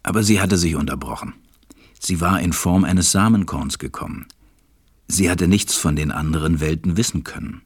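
An actor playing a gentle, reflective storyteller, narrating a storybook radio play. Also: male voice, sits low, normal speed, breathy edge, dry recording, clean studio sound. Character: reflective adult male narrator recalling a little friend.

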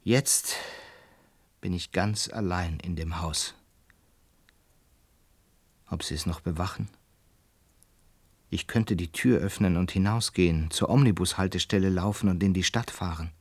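An actor in a radio play as a lonely, distraught male lodger, talking haltingly and broodingly into a cassette recorder. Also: deep voice. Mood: haunted, brooding. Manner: halting, brooding, swinging from murmurs to anguished cries. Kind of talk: dramatic radio play monologue.

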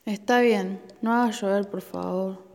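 A woman's voice: mid-range voice, steady tone, unhurried, gravelly, darkish tone. Firm, wry mother in echo, played by a woman. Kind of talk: amateur radio play acting. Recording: echoey recording.

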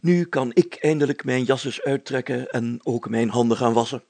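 A man's voice: medium pitch, normal speed, vocal fry, warm tone, dry recording, voice pushed up. A man voicing a pompous, flustered burgomaster in a story, with blustering, old-fashioned formal speech. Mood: jovial, commanding. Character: pompous, flustered male burgomaster and police chief.

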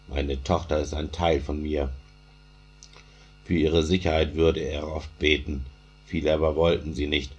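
A man's voice: extremely low pitch, darkish tone, husky. A man reciting a mourning lament in a chanting delivery, returning again and again to a repeated refrain.

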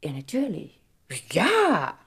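A woman's voice: mid-range voice, huge pitch swings, slow, husky, full character voice. An actress playing a sincere, affectionate Broadway star in her late twenties, with theatrical, emphatic delivery in a classic radio play. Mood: earnest, animated. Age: 20s. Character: sincere 29-year-old Broadway stage star, firm yet affectionate.

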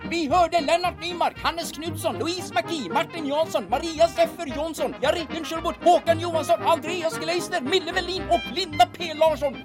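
Very high-pitched woman announcer over music. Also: hardly any pauses. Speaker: announcer reading credits. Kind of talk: scripted credits announcement. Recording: loud music behind the voice.

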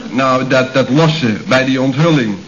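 A man's voice: mid-range voice, normal speed, lightly acted. A man playing a carefree, bumbling employee in a radio comedy, sheepish and casual. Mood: sheepish, breezy. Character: bumbling, carefree male employee making feeble excuses. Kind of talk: 1970s Dutch radio comedy acting. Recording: very noisy, muffled old radio recording.

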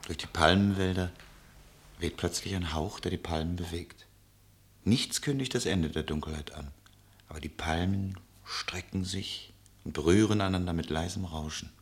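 An actor playing a tender traveller who tells lyrical, solemn stories in a literary radio play. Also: male voice, low-pitched, moderate pace, lightly acted. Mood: lyrical, awed. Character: male traveller, tender poetic storyteller.

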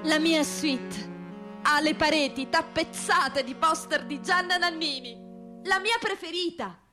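A high-pitched, wistful woman inmate in a radio play, acted by a woman. She is ironic and dreamy and stretches her words slowly. Music plays along with her. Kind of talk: theatrical radio monologue.

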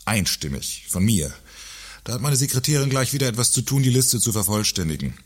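A man acting a gruff, sarcastic, pompous middle-aged company director in a satirical radio play. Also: medium pitch, sing-song, brisk. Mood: peevish.

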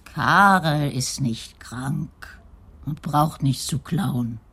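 A frail old woman in a radio crime play. Her low voice is very husky and breathy, rising and falling widely as she rambles in halting, simple sentences, lonely and frightened. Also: animated, fairly bright tone, exaggerated voice. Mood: confiding. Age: older. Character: frightened, frail, lonely old Dutch widow, chattering about neighbours. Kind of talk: radio crime drama acting.

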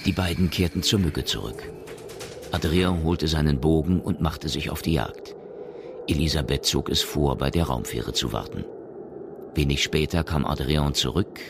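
A man's voice: very deep voice, normal speed, noisy background. A man reading scripted narration as the narrator of a science fiction radio drama.

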